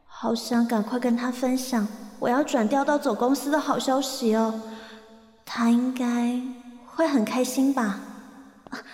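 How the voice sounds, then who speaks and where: A very high-voiced young woman in love in a radio drama. She speaks slowly and expressively, musing and pouting, quick to get flustered. The sound is close and dry. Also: fairly even intonation, darkish tone, no room sound. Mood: wistful musing. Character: young woman in love, excitable, bashful, playfully pouty. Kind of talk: Mandarin radio drama acting.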